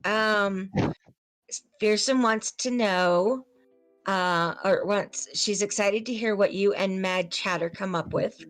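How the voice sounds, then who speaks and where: A woman's voice: mid-range voice, slow pace, dry recording, low-quality recording. A woman co-hosting a livestream show, bantering casually on an online group call. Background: music.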